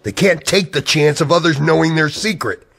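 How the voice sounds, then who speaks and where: An actor playing a grave, ominous insider who knows of a conspiracy, in a radio drama. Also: male voice, a little high, moderate pace, gravelly, fairly bright tone, slight background noise. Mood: grave warning. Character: Zeke, grave male insider warning of conspiracy.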